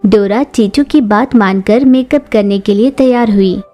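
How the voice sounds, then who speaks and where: A woman's voice: medium pitch, a little slow, dark tone, soft background music. A woman narrating a scripted children's cartoon story.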